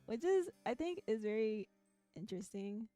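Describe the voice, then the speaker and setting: A young woman chats softly in a quiet but clear voice, her tone mellow and warm. She giggles often between thoughts, with faint music playing behind her. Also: on the high side, big pitch swings, moderate pace, dry recording. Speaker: streamer. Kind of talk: casual chat and reading a visual novel aloud.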